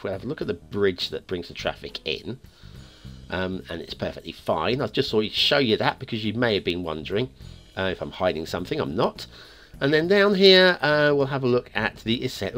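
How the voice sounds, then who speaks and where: Chatty, wheezy man. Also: lowish voice. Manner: rambling, chatty, self-correcting, joking asides. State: wheezing, asthmatic. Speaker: host of a game map review show. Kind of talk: casual gameplay commentary.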